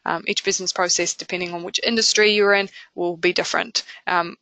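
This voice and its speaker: A woman with a New Zealand accent presenting a product webinar in a conversational way, often pausing with fillers. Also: fairly low pitch, fairly even intonation, sharp tone, dry recording, low-quality recording. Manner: conversational, with frequent filler pauses.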